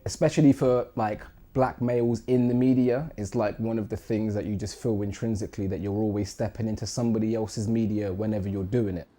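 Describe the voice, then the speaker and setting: Dark-voiced, earnest man. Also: fairly low pitch, fairly even intonation, fairly quick, few pauses, faint music behind. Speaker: transmedia producer. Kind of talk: documentary interview.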